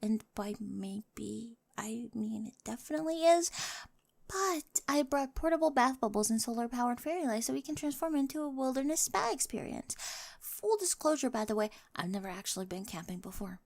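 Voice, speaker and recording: Lady playing a quirky nerdy teen girl with a crush, highish creaky voice, awkward asmr roleplay rambling. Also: animated, moderate pace. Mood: sheepish confessing. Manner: rambling, self-undercutting, nervous confessions. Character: eccentric, awkward, infatuated nerdy teenage girl, over-eager planner. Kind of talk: ASMR romantic roleplay monologue.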